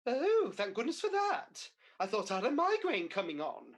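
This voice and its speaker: A woman's voice: a little high, wildly swooping pitch, normal speed. A woman playing a cheeky, scatterbrained Mother Goose in a radio pantomime, exclamatory and comic. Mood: bemused, earnest. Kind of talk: pantomime radio play acting.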